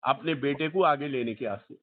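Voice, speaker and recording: A man's voice: mid-range voice, moderate pace. A man in his sixties with a Hyderabadi accent, a journalist chatting informally and readily agreeing with repeated short affirmations. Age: 60s. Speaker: senior journalist conducting a call. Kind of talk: informal phone interview. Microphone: phone line. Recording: tinny recorded phone call.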